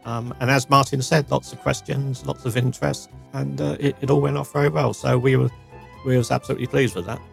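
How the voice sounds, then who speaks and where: A man, co-hosting a podcast in relaxed, chatty talk with frequent restarts. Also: medium pitch, fairly quick, creaky, faint music behind. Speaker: podcast co-host. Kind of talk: casual chat.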